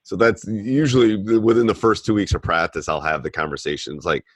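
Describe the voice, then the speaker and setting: A man with a very low, deep voice talks basketball coaching in a loose, rambling way, like he's chatting on a call. He sounds middle-aged and plain-spoken. The sound is dry and close, with no room around it. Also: animated, brisk, slightly rough, no room sound. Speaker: basketball coach and podcast host.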